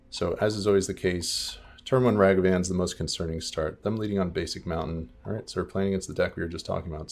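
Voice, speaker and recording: A man giving casual live commentary as he streams a card game, reasoning aloud deliberately in a slightly scratchy, worn voice. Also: deep voice, creaky, a little mellow. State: voice a little worn, starting to go.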